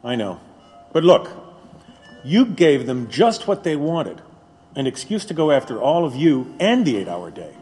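An actor in an audio drama playing a pragmatic friend who urges compromise, urgent and persuasive. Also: male voice, on the high side, very animated, a little slow, mellow. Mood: urgent, pleading. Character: pragmatic, pleading male friend urging compromise. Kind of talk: dramatic audio play acting. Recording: long reverb, music underneath.

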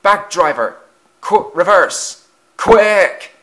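A man's voice: high-pitched, animated, drawn-out words, a bit bright, voice pushed up, full character voice. A man voicing an anxious, flustered goods engine in a children's railway story, exclaiming in alarm.

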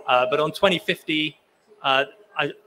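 A man's voice: a little high, normal speed, sharp tone, slight background noise. Young man speaking at a conference podium. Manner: hesitant, many restarts and fillers. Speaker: panel speaker, sea ice scientist. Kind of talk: conference talk and Q&A. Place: conference pavilion hall, indoors. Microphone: podium microphone.

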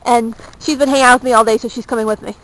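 A woman's voice: on the high side, fairly even intonation, rapid-fire, a bit bright, rough recording. A young woman chatting while she walks and films with a handheld camera, jokey and self-mocking. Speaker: vlogger. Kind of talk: casual chat while walking. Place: outdoors on a small-town street, later indoors in a wood-paneled room. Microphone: handheld camera held close.

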